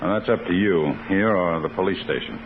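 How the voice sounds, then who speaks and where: A deep, stern district attorney. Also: male voice, a little fast. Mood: tough. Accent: American. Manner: clipped, authoritative, insistent. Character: stern, commanding male district attorney investigating a case. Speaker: lead actor in radio crime drama. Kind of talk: 1950s radio detective drama acting. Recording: noisy, muffled 1950s radio recording.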